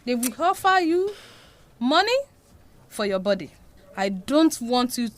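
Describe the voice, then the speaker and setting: A devout Nigerian mother, played by a woman, gives earnest counsel in an audio drama. Her voice is fairly high and very slow, with wide swings in pitch. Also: big pitch swings, slight background noise. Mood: worried. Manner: earnest, admonishing.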